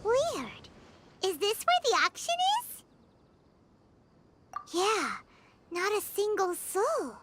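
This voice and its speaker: A woman voicing a tiny, excitable, childlike fairy girl companion in a video game, squeaky and expressive. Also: slow, pauses often, noisy background, heavily acted. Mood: curious, puzzled. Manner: exclamatory, expressive, speaks in third person. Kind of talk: scripted game dialogue. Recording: game music behind the voice.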